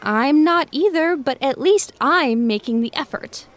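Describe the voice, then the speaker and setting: A woman's voice: high-pitched, animated, unhurried. An actress playing a haughty, critical young woman with a pointed, dismissive delivery in a fan audio drama. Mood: irritated, pointed.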